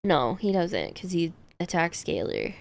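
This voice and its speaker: A woman's voice: on the low side, big pitch swings, measured pace, vocal fry. A woman streamer chatting casually on a livestream, thinking aloud about game builds and laughing often.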